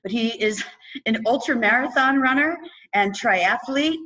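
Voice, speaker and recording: An upbeat woman hosting an interview podcast. She talks in a lively, chatty way and laughs easily between her sentences. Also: fairly high pitch, a little slow, lo-fi sound. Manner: energetic, chatty, laughs often. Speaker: podcast host.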